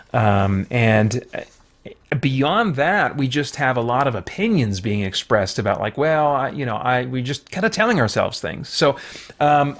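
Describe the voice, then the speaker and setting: A man hosting a review show, giving reflective, earnest, explanatory commentary straight to his viewers. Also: mid-range voice.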